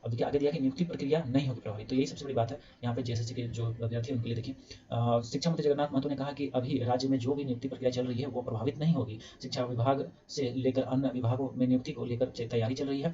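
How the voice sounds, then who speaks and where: A man rattles through the news quickly in a mellow, darker voice. He reads from clippings, then adds easygoing spoken asides. The sound is dull and lo-fi. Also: mid-range voice. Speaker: news explainer host. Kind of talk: casual explainer reading news aloud.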